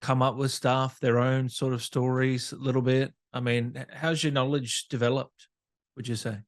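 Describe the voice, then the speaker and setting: A man's voice: medium pitch, flat delivery, normal speed. A man interviewing a guest as a podcast host, in a casual, conversational style.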